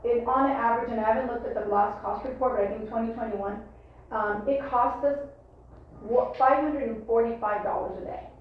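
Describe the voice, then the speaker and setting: A woman giving a spoken report at a board meeting as a staff member, with halting, hesitant phrasing. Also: on the high side, steady tone. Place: meeting room, distant microphone. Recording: dull echoey far-off room, faint noise.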